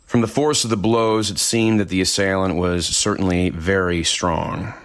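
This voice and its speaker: An actor playing a measured police investigator who recounts a case matter-of-factly in a documentary-style audio drama, with a gravelly voice. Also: male voice, low voice, fairly even intonation, no room sound, lightly acted. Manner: measured, deliberate, matter-of-fact.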